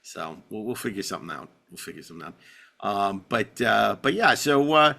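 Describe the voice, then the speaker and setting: Guy hosting a podcast, really bright forceful voice, casual, kinda stammers and repeats himself. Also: fairly low pitch, sing-song, a little fast, gravelly. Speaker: podcast host. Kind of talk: casual chat.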